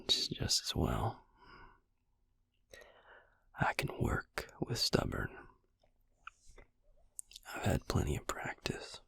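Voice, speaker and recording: A man voicing a gruff, caring, sardonic vampire healer in an intimate ASMR roleplay, speaking in a breathy, gravelly near-whisper. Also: slow pace, long pauses, fairly bright tone, dry recording. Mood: tired, fond.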